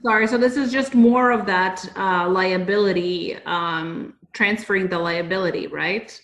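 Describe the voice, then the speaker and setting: A woman's voice: medium pitch, steady tone, measured pace, a bit bright. A woman explaining points conversationally in a panel discussion, often checking with a tag question. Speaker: consultant discussing a standard. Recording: roomy, echoing sound.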